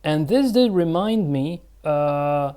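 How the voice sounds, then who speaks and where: A young man with a Russian accent talks through his method of learning a language, stopping often to restart his sentences and filling gaps with "uh". His voice sits a little high for a man. The tone is dark and mellow. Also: animated. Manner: halting, many fillers and restarts. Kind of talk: unscripted talk to camera.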